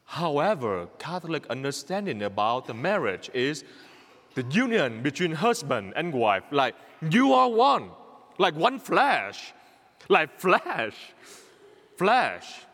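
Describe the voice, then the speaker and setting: Vietnamese-accented priest, slow and lively. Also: male voice, fairly high pitch, big-room sound. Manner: repetitive, emphatic, exhorting, simple halting English. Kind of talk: homily.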